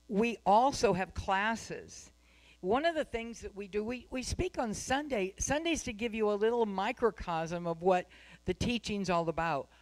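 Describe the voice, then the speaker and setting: A woman's voice: medium pitch, low-quality recording. A woman giving live spoken announcements to a congregation, speaking at a microphone in a meeting room.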